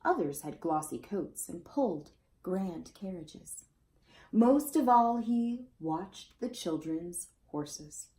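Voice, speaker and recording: A woman's voice: on the low side, expressive, slow pace, a little mellow. A woman reading a children's picture book aloud expressively, as storyteller to young listeners. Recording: roomy, echoey indoor sound.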